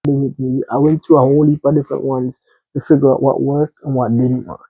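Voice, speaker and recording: A man in a casual personal discussion, speaking in a gentle, encouraging way through tinny, band-limited sound. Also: medium pitch, flat delivery, fairly quick, slightly rough, no room sound.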